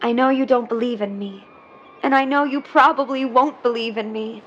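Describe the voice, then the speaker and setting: A woman's voice: a little high, fairly even intonation, normal speed, a bit bright, muffled recording, slight background noise, lightly acted. An actress playing an earnest, desperate young woman who insists she is a mermaid, in an audio drama. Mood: earnest pleading.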